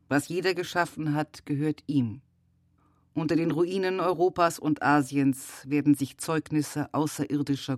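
An actor playing a solemn medium in a radio play, prophesying in measured, oracular phrases. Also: male voice, a little high, darkish tone, dry recording, lightly acted. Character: solemn male medium prophesying a better future world. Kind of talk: scripted radio play monologue, prophetic declaration. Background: music.

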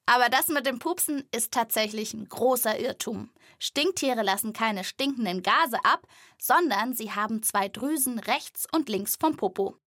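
A woman with a fairly high voice hosts a children's animal podcast. She delivers scripted narration in a lively way, recorded dry and close. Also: normal speed, no room sound.

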